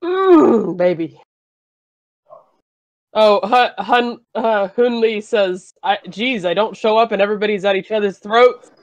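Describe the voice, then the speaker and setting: A woman's voice: mid-range voice, lively intonation, measured pace, no room sound. A woman hosting a live stream in casual chat, with a teasing, mock-scolding way of talking. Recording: dull, lo-fi microphone.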